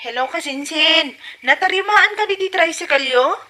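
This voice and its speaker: A woman's voice: extremely high pitch, lively intonation, normal speed, pushed and bright, slight background noise, heavily acted. A woman playing a dutiful, outspoken grown daughter in an audio comedy-drama, earnest and admonishing. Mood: anxious. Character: dutiful, outspoken grown daughter looking after her mother.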